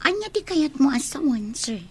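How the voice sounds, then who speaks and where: A woman's voice: high voice, very animated, normal speed, a little mellow. A woman acting in a radio drama as a hesitant young woman who defers to her boss. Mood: surprised, wary.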